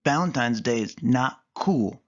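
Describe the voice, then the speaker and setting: A man's voice: medium pitch, expressive, unhurried, lo-fi sound. A man voicing an easygoing young boy cat, close to his own speaking voice. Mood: plain.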